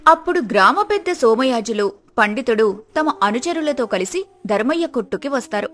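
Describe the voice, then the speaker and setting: A woman's voice: fairly high pitch, expressive, normal speed, fairly bright tone. A woman reading scripted narration of a moral folk tale as the storyteller.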